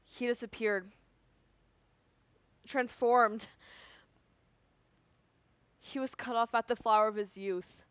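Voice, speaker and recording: A young woman performing a solemn, lovelorn nymph in a dramatic, drawn-out theatrical monologue. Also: a little high, fairly even intonation, clean tone, dry recording. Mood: hushed grief. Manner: dramatic, drawn-out, sighing delivery.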